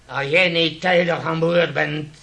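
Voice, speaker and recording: Haughty male shipowner, radio drama; scolding, highish forceful voice with a gravelly edge. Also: fairly even intonation, a little slow. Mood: stern, offended. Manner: lecturing, indignant, scolding. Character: haughty, hard-nosed shipowner, a man of authority. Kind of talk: Dutch radio play acting.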